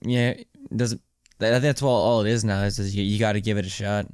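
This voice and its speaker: A man's voice: on the low side, fairly even intonation, a little fast, a little mellow, smooth, no echo, studio quality. A man co-hosting a podcast, talking casually about movies in an informal, rambling, explanatory way and repeating phrases for emphasis. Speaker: podcast co-host.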